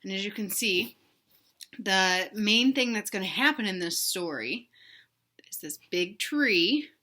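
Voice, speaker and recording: A woman reading a children's storybook aloud in an animated, emphatic way, pausing to put questions to young listeners. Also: mid-range voice. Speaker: read-aloud host for children.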